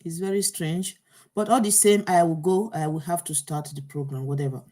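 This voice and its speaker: A woman's voice: lowish voice, normal speed, a little mellow. Flustered woman hosting an online talk. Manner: flustered, troubleshooting aloud, many fillers and restarts. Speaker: host of an online program. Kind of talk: live online talk show. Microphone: earpiece.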